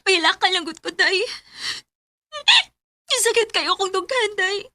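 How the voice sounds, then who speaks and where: A very high-voiced young woman, secretly in love and unsure of herself. Her tone is bright and plaintive, recorded close and dry. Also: measured pace, dry recording, exaggerated voice. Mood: heartbroken. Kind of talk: radio drama acting.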